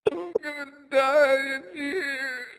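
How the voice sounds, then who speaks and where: A man's voice: very high-pitched, fairly even intonation, takes it slowly, fairly bright tone. A young American man, weak and tearful, pleading on a recorded jailhouse phone call. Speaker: jail inmate on a phone call.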